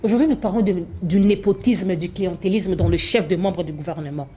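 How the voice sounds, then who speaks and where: A woman's voice: lowish voice, fairly quick, mellow, a little hiss or hum. A woman interviewing a guest, asking direct and pressing questions.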